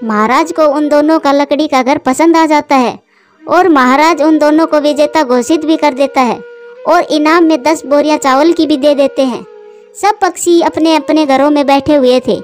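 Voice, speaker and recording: A woman narrates a scripted children's story. Her voice is high, and faint music plays beneath it. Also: moderate pace. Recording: music behind the voice.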